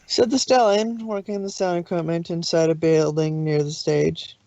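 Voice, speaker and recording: A woman's voice: lowish voice, fairly even intonation, normal speed, dark tone, dry recording, highs cut off. A woman reading scripted story narration as the narrator of a fan fiction.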